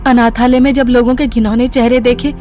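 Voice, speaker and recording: A woman's voice: fairly high pitch, flat delivery, a little fast, warm tone, faint music behind, lightly acted. An actress playing a timid, earnest and sympathetic young woman with a pleading manner in an audio drama.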